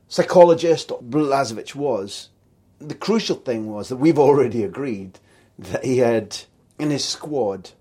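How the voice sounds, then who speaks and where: Husky, mellow-voiced male interviewer. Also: medium pitch. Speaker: podcast interviewer. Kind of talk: conversational interviewing.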